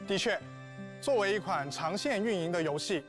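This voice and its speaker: A man's voice: high-pitched, expressive, moderate pace. A man hosting a game broadcast, presenting formally on behalf of the publishing team. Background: soft guitar music.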